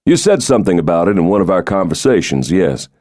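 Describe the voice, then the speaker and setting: A middle-aged country doctor as a male audiobook reader plays him, reserved and plain-spoken. The voice sits low and the delivery is low-key and sincere, recorded dry with no room sound. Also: sing-song, fast talker, a little mellow, no room sound.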